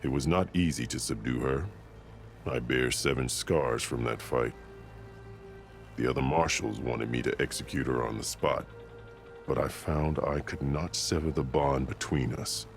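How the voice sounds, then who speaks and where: A battle-scarred warrior chief with an exceptionally deep voice. He speaks formally and solemnly, like a ruler who values order, in dramatic video game dialogue. Music plays clearly behind him. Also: fairly even intonation, heavily acted. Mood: grave, recounting. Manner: formal, solemn, measured storytelling.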